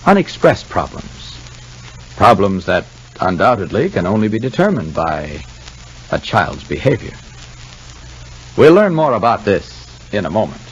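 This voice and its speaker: A man's voice: fairly low pitch, sing-song, measured pace, pauses often, husky, highs cut off. A man narrating and announcing from a script in the style of 1950s radio. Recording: faintly noisy old radio recording.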